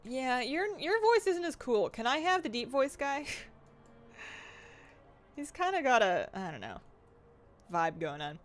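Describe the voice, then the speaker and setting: A young woman streaming a game live, chatting excitedly and reading her chat aloud. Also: sits high, slow pace, long pauses.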